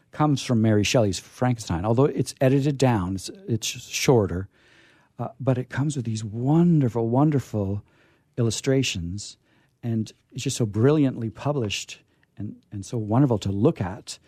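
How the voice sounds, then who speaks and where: An American man hosting a radio show, chatting casually and enthusiastically about books in a halting, discursive way with emphatic repetition. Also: medium pitch, mellow, no room sound, studio quality. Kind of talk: casual book chat.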